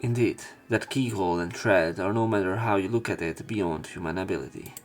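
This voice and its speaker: Low-pitched man reading game dialogue aloud. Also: brisk. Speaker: let's play host reading and translating a visual novel. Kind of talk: read-aloud narration and commentary of game dialogue. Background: game music.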